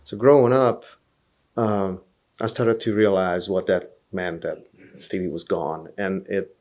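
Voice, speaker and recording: Low-voiced Hungarian man, earnest. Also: steady tone, a little slow, a little mellow. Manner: earnest, repeats words as he gathers thoughts. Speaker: podcast guest, blues musician. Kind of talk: relaxed interview storytelling.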